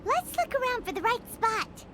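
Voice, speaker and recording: A woman voicing a tiny, cheeky childlike fairy companion in an anime game, with a squeaky voice. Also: very animated, normal speed, noisy background. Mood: cheeky, proud. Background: background music.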